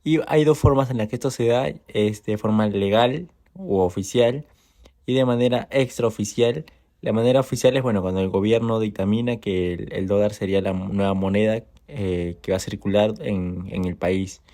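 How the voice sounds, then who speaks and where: A man co-hosting a podcast, explaining a topic in a measured way with occasional fillers. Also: lowish voice, steady tone, dark tone. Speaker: podcast co-host. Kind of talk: informative explainer.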